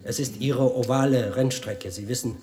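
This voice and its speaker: An actor playing a male science tour guide in a radio play, speaking in a formal, measured, didactic way. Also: medium pitch, fairly even intonation, a little mellow, lightly acted.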